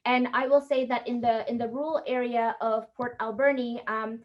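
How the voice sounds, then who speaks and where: Brief: woman, fairly high voice, earnest webinar speaker who halts and restarts often. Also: level tone, moderate pace, lo-fi sound. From Indonesia. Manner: earnest, many fillers and restarts. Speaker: guest speaker. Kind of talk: webinar Q&A answers.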